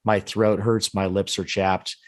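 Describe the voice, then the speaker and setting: A hoarse man co-hosting a podcast, recapping a trade show in long rambling stretches with many restarts. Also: lowish voice, little pitch movement, unhurried, no echo, clean studio sound. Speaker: podcast co-host. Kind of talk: casual podcast chat.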